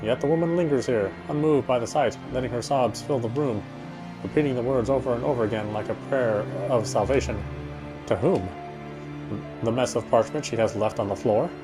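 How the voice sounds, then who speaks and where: A male game streamer reads story narration aloud in a mid-range voice. He occasionally trips over a word and picks it up again. Music plays clearly behind him. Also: mid-range voice, fairly quick, low-quality recording. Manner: stumbles over words while reading.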